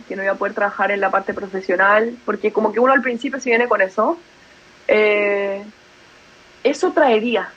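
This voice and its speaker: A young woman speaks with a Chilean Spanish accent in a relaxed, chatty way. She laughs easily and leans on fillers. Her voice sits a little high, and the recording sounds slightly muffled and lo-fi. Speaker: podcast guest, physiotherapist. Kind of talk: casual conversational interview.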